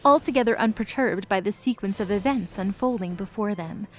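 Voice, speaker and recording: A woman's voice: mid-range voice, a little fast. A woman narrator reading scripted story narration for an audio drama.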